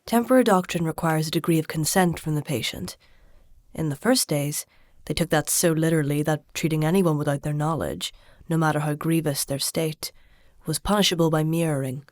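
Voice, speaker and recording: An actress playing a solemn chronicler, narrating a dark fantasy audio drama in a grave, deliberate storytelling manner. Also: female voice, low-pitched, fairly even intonation, no echo, clean studio sound, natural voice. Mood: grave, factual.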